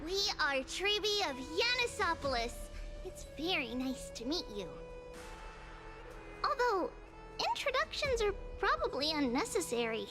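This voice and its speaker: A woman's voice: very high-pitched, sing-song, unhurried, over music, exaggerated voice. A woman voicing a cheerful, courteous little girl oracle, wise beyond her years, in a video game. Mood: friendly, courteous. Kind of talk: scripted video game voice acting.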